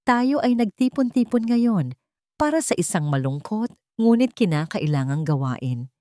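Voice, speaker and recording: A woman's voice: mid-range voice, sing-song, measured pace, warm tone, no echo, studio quality, pitched above the performer's own voice. Woman voicing a pompous scheming male executive. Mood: pompous, smug. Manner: pompous, falsely solemn. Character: scheming male corporate rival, pompous, then desperate.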